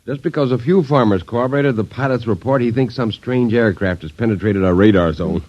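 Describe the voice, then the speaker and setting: An actor playing a gruff but genial military father, folksy and a bit blustery, in a 1950s radio drama. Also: mid-range voice, a little fast, natural voice. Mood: breezy. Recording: muffled old radio drama recording.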